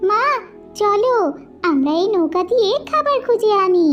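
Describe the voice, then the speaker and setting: Young cartoon daughter, very high voice, plaintive, eager, pleading, faint music behind. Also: female voice, big pitch swings, lo-fi sound. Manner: plaintive, drawn-out calls to mother.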